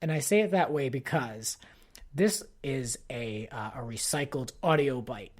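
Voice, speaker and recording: A man's voice: medium pitch, measured pace. A man hosting a podcast, giving a casual, exasperated and opinionated fan commentary peppered with "like". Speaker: podcast host. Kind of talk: casual pop-culture commentary rant.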